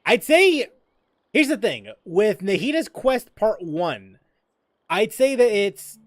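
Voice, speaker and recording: High, lively-voiced male gamer. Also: slow pace, no room sound, studio quality. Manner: musing, rambling, with restarts and laughs. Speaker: gaming streamer. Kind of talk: casual gameplay commentary.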